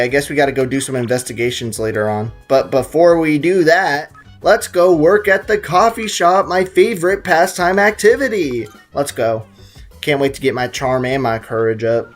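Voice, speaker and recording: A young man casually joking and rambling through a video game playthrough. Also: fairly high pitch. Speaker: gaming YouTuber. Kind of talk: casual let's-play commentary. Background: game music.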